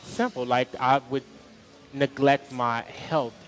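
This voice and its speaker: A man answering interview questions in a halting, slightly nervous way, filling pauses with ums, at an outdoor street festival. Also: mid-range voice, steady tone, soft background music. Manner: hesitant, halting, with many ums. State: a little nervous. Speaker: interview guest.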